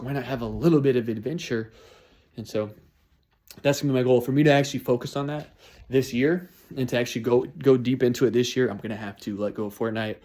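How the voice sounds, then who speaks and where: A man's voice: medium pitch, dark tone. A man in his twenties talking through his New Year's resolutions in a casual, motivational way, often repeating and restarting phrases. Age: 20s. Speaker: vlogger.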